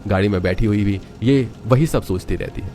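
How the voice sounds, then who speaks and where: A man reads a dramatic story in a low voice. His delivery is quick and scripted, carried over a music bed. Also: dark tone. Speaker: narrator. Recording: background music under voice.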